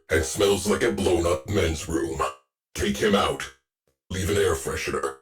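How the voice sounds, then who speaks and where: A gruff male artificial intelligence commanding a warship crew in a video game. The voice sits very low and moves slowly, with clipped, deadpan military phrasing. It stays sardonic and coolly authoritative, over faint music. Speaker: ship's AI crew officer. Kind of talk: scripted video game voice lines.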